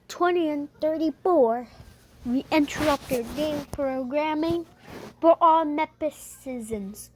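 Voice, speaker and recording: Very high-pitched, slow female announcer. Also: a bit bright. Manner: halting, repetitive announcing with broken phrasing. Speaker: narrator of an amateur mock emergency broadcast. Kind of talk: scripted mock emergency alert announcement.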